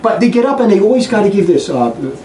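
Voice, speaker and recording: A man giving an impassioned religious talk to a group in a fast, blunt, combative way. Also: sits high, very animated, dark tone. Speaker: religious preacher. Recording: echoey room, distant mic, faint noise.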